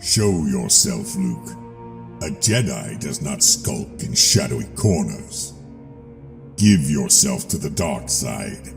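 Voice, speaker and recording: A man voicing a menacing, commanding dark lord who taunts in a slow, measured, ominous way. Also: rumbling low, sing-song, creaky, pitched below the performer's own voice, heavily acted. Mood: menacing, taunting. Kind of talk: film villain acting for a voice reel. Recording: long reverb with music behind.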